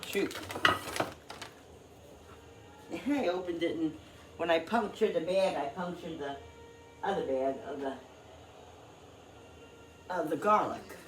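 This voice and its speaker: Middle-aged female cooking vlogger, low voice, stuffy with a cold, halting chat over kitchen noise. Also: poor audio. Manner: rambling, halting, many fillers. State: congested with a cold. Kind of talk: casual chat while cooking. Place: home kitchen.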